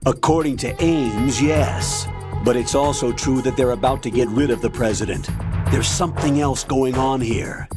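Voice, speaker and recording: An actor playing an older military colonel in a video game, authoritative, measured and guarded. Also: male voice, medium pitch, music in the background, natural voice. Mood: urgent, commanding. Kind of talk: video game cutscene acting.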